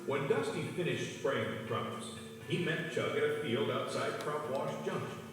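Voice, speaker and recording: A man's voice: medium pitch, lively intonation, normal speed. A man reading scripted narration for a children's read-along storybook. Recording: echoey, distant, long reverb, music behind.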